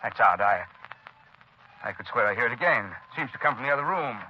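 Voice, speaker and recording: A man's voice: medium pitch, animated, a little fast. A man acting in a 1930s radio drama with a formal, somewhat pompous delivery. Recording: dull old radio recording, faint hiss.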